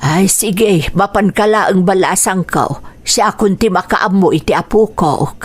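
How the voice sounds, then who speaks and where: A woman's voice: mid-range voice, measured pace, husky. An older village grandmother in an Ilocano-accented radio drama. She speaks in a homely, affectionate way to her family, as a kindly mother. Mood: warm, obliging.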